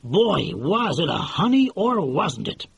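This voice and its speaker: Man voicing a slangy movie mogul, pushy, high lively voice, husky, dry close audiobook sound. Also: slow pace, no room sound, heavily acted. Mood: boastful, excited. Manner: slangy, pushy, exclamatory, keeps saying "see". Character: brash, excitable middle-aged male movie mogul. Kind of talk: audiobook character voice.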